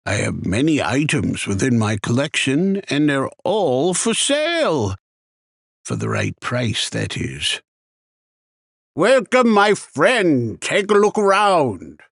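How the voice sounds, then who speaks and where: An actor voicing a chatty, wry male shopkeeper in a video game, with a theatrical, deliberate sales patter. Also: medium pitch, sing-song, creaky, no echo, studio quality, full character voice. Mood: welcoming, salesmanlike. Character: chatty male shopkeeper, wry, easily annoyed arms trader. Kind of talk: scripted video game character lines.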